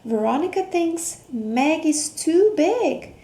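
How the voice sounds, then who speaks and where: A woman reading a picture book aloud to children, expressive, with questions, gasps, hums and hushed asides. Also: high-pitched, takes it slowly. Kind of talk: interactive children's read-aloud with questions to young listeners. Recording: roomy echo, faint background noise.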